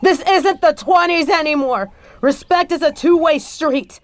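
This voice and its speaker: A woman's voice: high-pitched, measured pace. A woman playing a bitter adult daughter confronting her stepfather in an audio roleplay, scathing, profane and confrontational. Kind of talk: scripted audio roleplay monologue.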